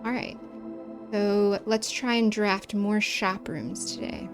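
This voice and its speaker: A woman's voice: mid-range voice, steady tone, slow, over music. A woman from the American Midwest with a Chicago accent, chatting casually while streaming a game, with long vowels and careful over-enunciation.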